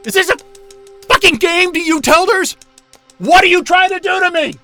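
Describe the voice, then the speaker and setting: A man's voice: very high voice, normal speed, sharp tone, faint music behind. An actor playing a panicked, sweary man stranded at a polar station in an audio drama, urgent and exasperated. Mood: furious, despairing.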